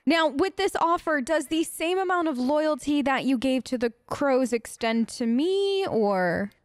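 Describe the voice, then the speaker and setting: A woman's voice: sits high, expressive, measured pace, no echo, studio quality. A woman improvising casual in-character replies as a let's play host, chatty, informal and a bit rambling. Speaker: let's play host role-playing her game character.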